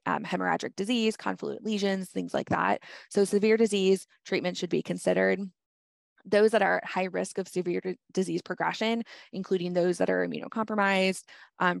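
A woman's voice: fairly low pitch, gravelly, no echo, studio quality. A woman presenting a medical grand rounds lecture on treatment guidance, speaking practically with frequent "um" fillers. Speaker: health department presenter.

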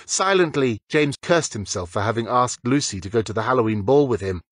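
Husky male audiobook narrator, dry sound. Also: medium pitch, lively intonation, fairly quick, no echo. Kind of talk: scripted fiction narration.

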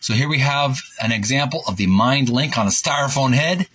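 A man hosting a retro gaming video, reading a book aloud in a halting, deliberate way with casual commentary. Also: mid-range voice, a bit bright, a little hiss or hum. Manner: halting, deliberate, repeats himself.

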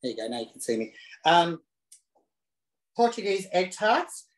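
A man's voice: sits high, big pitch swings, unhurried. A man presenting a casual live cooking demonstration in a home kitchen, camp and chatty with cheeky asides. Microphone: self-set camera. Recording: roomy kitchen echo.

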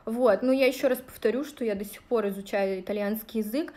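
A woman's voice: fairly high pitch, a little fast, mellow. Chatty Russian woman on a phone livestream. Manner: chatty and direct, with many fillers. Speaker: vlogger answering viewer questions. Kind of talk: casual livestream talk. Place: small room indoors. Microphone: phone held at arm's length.

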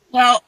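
A woman's voice: bright, forceful tone, no echo, rough recording. A woman voicing a brash, gluttonous blue hedgehog hero in a crude, exclamatory improvised comedy fandub.

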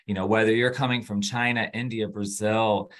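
A man's voice: lowish voice, flat delivery, moderate pace, fairly bright tone. A man hosting a university webinar over a video call, upbeat with frequent fillers. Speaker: webinar host, admissions. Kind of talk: webinar presentation.

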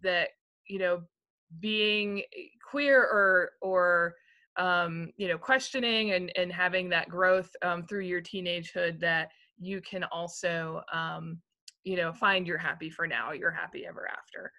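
A woman with a bright, forceful voice moderates a panel over a video call. She speaks in measured phrases with frequent ums. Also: lowish voice, fairly even intonation, highs cut off. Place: home room, video call.

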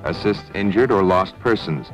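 Deep-voiced documentary narrator, a man. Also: lively intonation, lo-fi sound. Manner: measured, formal delivery. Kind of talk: scripted newsreel-style narration. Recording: 1970s film soundtrack with music. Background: background music.

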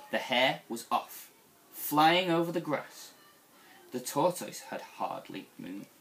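A young man reading a picture book aloud to children in an expressive, deliberate storytelling style. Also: medium pitch, creaky, slight background noise, low-quality recording. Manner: animated, deliberate storytelling delivery. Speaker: school staff member reading to young children.

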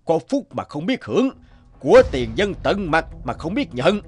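A scornful, corrupt senior mandarin in an animated folktale. He talks pompously and mockingly, with music in the background. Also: fairly high pitch, normal speed. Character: corrupt, scornful older male superior mandarin.

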